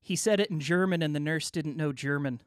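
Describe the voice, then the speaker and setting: A man co-hosting a podcast in loose, joking banter. He wanders off into tangents and asides, his voice pitched a touch high. The sound is dry and close, with no room around it. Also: a little fast, no echo. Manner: jokey, rambling, trails off into asides. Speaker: podcast co-host.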